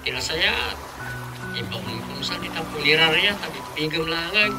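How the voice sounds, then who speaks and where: An actor with an Ilocano Filipino accent playing an excitable, suspicious, grumbling village man in a radio drama comedy. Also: a little high, moderate pace, music in the background, close to an everyday voice. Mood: wary, joking.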